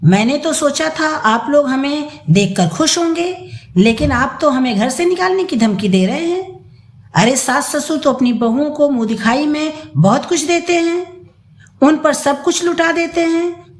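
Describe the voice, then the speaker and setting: Woman performing brazen adult son, entitled, irritated, high-pitched, roomy echo, dramatised story. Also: animated, a little slow. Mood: indignant, shameless. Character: shameless, entitled adult son demanding his inheritance. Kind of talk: dramatised audio story reading.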